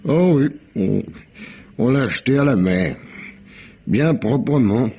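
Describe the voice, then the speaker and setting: An actor playing a rough, tipsy sailor spinning a sea yarn in slangy, rambling, halting speech, in 1950s French radio mystery style. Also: male voice, medium pitch, expressive, dark tone, exaggerated voice. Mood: excited storytelling.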